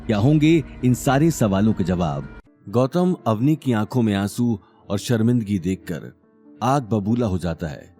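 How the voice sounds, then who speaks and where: A man's voice: fairly low pitch, expressive, unhurried, mellow, breathy edge, soft background music. A man narrating a scripted audio drama serial in a dramatic storytelling style.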